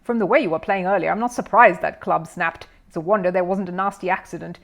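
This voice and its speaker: A woman reading a storybook as a firm, courteous male golf judge with measured authority. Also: lowish voice, vocal fry. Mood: stern.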